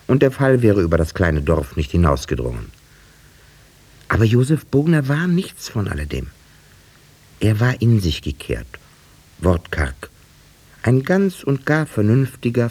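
Old-time radio play schoolteacher narrator, deep n husky, thoughtful, dry wit, fussy about details. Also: male voice, mellow, heavily acted. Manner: measured, circumstantial, dryly ironic, precise. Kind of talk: 1950s German radio drama narration.

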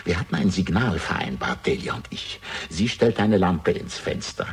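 An older killer in a radio crime play, his voice very husky and rough and fairly low. He is arrogant and gloating, delivering his words with sneering, theatrical grandeur that swings between murmur and outburst. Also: sing-song, normal speed, full character voice. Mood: gloating confession. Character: arrogant, gloating older male murderer, fanatically proud father. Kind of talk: 1960s German radio crime drama acting.

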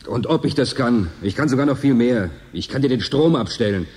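A man's voice: mid-range voice, animated, brisk, a little mellow, slightly rough. An actor playing a lonely, teasing male convict in a German radio drama, talking in an intimate, musing way. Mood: spiteful, teasing. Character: Tonio, lonely male station convict, yearning, teasing, bitter.

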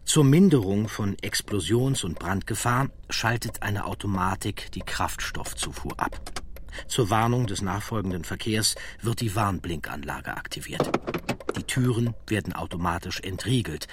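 Male documentary narrator, lower register, serious and factual, light vehicle ambience underneath. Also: slight background noise. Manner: measured, factual, serious delivery.

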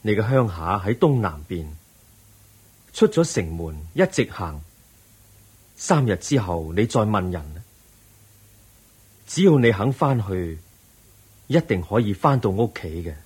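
An actor playing a serene, wise Buddha in a radio drama, speaking in a solemn, instructive way with a Hong Kong Cantonese accent. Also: male voice, on the low side, sing-song, measured pace, pauses often, darkish tone, breathy edge, exaggerated voice. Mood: solemn encouragement. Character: serene, wise male Buddha, compassionate and firm.

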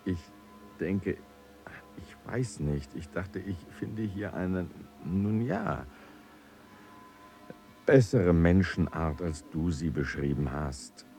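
A bewildered male traveller in a radio play, very low and slow in voice. He speaks haltingly as he questions strange cosmic beings, with music playing under him. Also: warm tone. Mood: unsure. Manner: hesitant, halting, searching for words. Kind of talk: audio drama acting.

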